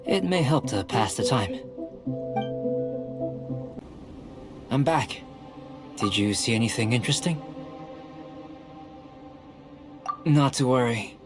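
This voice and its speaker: A man playing a calm, analytical young alchemist in an anime-style video game, speaking in a measured, precise way. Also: mid-range voice, natural voice. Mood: composed. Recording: music behind the voice.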